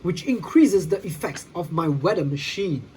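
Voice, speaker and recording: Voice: mid-range voice, lively intonation, moderate pace, mellow, a little hiss or hum, close to an everyday voice, low-quality recording. A reader voicing an icy, boastful male supervillain who taunts and commands in a children's storybook. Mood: scheming.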